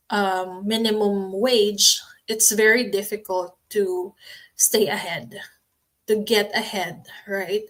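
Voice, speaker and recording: A woman in her late thirties with a Filipino accent, talking casually to camera about her online business, unhurried, with many ums and restarts. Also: medium pitch, poor audio. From Philippines. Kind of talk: casual promotional talk to camera.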